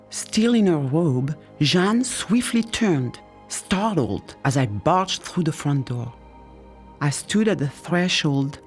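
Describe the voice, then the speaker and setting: A woman reading literary audiobook narration in an expressive, unhurried storytelling manner, as an author presenting her own book. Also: sits low. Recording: music under the voice. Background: music.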